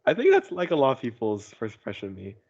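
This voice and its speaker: Young man, very animated intonation, casual podcast banter, teasing, giggly, slangy, dull lo-fi call audio. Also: mid-range voice, quick pace. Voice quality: big goofy guffawing laugh. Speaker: podcast host. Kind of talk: casual joking podcast chat. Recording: dull, muffled voice-call sound.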